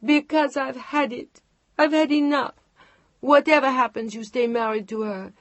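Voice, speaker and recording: A reader voicing a jealous young Frenchwoman, shrill and shouting in an audiobook. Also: fairly even intonation, moderate pace, no echo, dull audio. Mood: furious. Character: furious jealous French mistress, young woman. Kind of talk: audiobook character voice.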